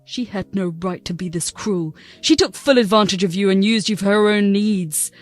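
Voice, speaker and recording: A woman's voice: medium pitch, moderate pace, dry recording, faint music behind, pitched below the performer's own voice, close to an everyday voice. A woman voicing a middle-aged father who scolds his daughter sternly in defense of his wife. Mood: troubled.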